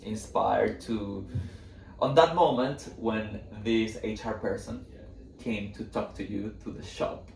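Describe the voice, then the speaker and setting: A man from Venezuela with a Venezuelan Spanish accent, interviewing in a casual, reflective way with halting, searching phrasing. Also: fairly low pitch, creaky, darkish tone. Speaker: podcast host, interviewer. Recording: echoey room, distant mic, background noise.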